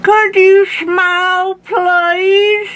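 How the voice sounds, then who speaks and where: A woman's voice: very high-pitched, level tone, very slow, fairly bright tone, dull audio, voice pushed up, full character voice. A woman voicing a distraught, indignant old grandpa in a storybook, melodramatic and wailing. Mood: suspicious.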